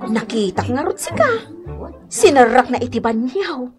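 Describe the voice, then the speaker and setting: Nosy gossip lady voiced by an actress in ilocano radio comedy, high pitched, swoopy, pushy and scornful. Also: moderate pace, over music, close to an everyday voice. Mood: gossipy, insistent. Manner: animated, emphatic, suspicious. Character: nosy gossiping neighbor woman, insistent and scornful.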